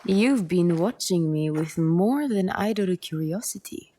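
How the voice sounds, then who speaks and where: A woman playing a poised, cunning, self-assured game character with a measured, unhurried way of talking. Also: on the low side, lively intonation, warm tone. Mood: sly, inviting. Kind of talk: video game cutscene acting.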